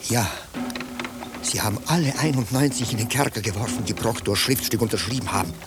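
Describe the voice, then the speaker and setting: An actor playing a stubborn, angry farmer in a 1950s radio drama, emphatic, declamatory and accusing. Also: male voice, medium pitch, fairly quick, over loud music, natural voice. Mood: grim. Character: furious, stubborn farmer making bitter accusations. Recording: noisy old radio drama recording.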